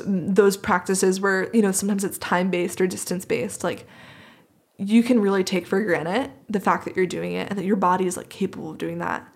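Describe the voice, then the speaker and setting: A woman's voice: medium pitch, darkish tone. A woman speaking as a podcast host in a reflective, conversational interview, sounding earnest and appreciative.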